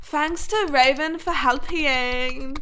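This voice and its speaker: Woman playing teenage girl author, high-pitched, bright, bratty, defensive, comic cartoon asides. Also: slow pace, slight background noise. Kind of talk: comic author's notes in animated fan fiction.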